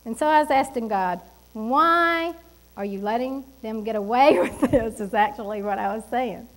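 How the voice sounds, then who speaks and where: A middle-aged woman preaching a sermon from a church pulpit, emphatic and animated, with asides to the congregation. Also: a little high, moderate pace. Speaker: pastor. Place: church stage at a wooden pulpit.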